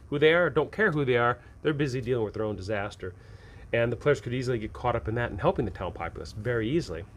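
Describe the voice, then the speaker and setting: An American man giving casual, unscripted advice as a game master show host, in a rambling, conversational way. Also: medium pitch. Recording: clearly noisy ambient background.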